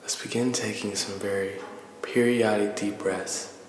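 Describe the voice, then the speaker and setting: A man leading a guided meditation in a calm, deliberate, soothing manner, pausing between measured phrases. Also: lowish voice. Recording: echoey reverberant voice with faint noise.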